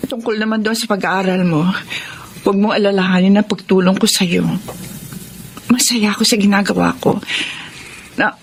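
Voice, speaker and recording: An actress playing a gentle, long-suffering wife and older sister in a Tagalog radio drama, pleading and emotional. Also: female voice, mid-range voice, steady tone, normal speed, pauses often, slight background noise, close to an everyday voice. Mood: gentle, reassuring. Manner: soft, hesitant, pleading.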